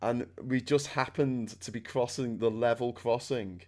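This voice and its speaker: A middle-aged man with a Scouse accent, hosting a casual chat in a loud, excitable way and laughing often. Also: mid-range voice, measured pace. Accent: Liverpool (Scouse). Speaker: host.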